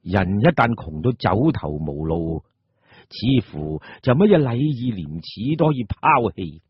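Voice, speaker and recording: A man with a Cantonese accent narrating a scripted story for radio drama in an expressive, theatrical way. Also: lowish voice, unhurried, husky, dry recording, highs cut off.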